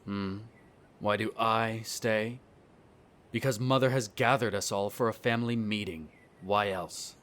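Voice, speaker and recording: A man's voice: lowish voice, steady tone, measured pace, fairly bright tone. A man playing a smug, disdainful vampire noble in a scripted roleplay audio drama, speaking formally with sardonic condescension. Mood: annoyed, dismissive.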